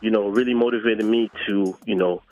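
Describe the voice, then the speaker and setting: A middle-aged man answering in a conversational interview, often pausing hesitantly between phrases. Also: lowish voice, fairly even intonation, a little mellow, soft background music, rough recording. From Democratic Republic of Congo. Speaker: guest, entertainment producer.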